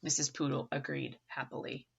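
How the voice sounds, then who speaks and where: A woman's voice: extremely low pitch, level tone, a little fast, creaky, muffled recording, heavy background noise. A woman reading a children's picture book aloud expressively and theatrically, engaging young listeners.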